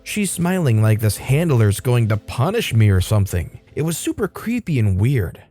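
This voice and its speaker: A man narrating a Reddit story in a steady, scripted reading voice. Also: mid-range voice, normal speed, faint music behind. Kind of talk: reading a Reddit post aloud.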